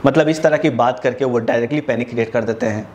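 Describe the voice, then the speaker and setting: A man's voice: medium pitch, fast, a little mellow. Indian man explaining in tutorial style. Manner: explains step by step in Hindi mixed with English terms. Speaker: presenter of an educational tech video series.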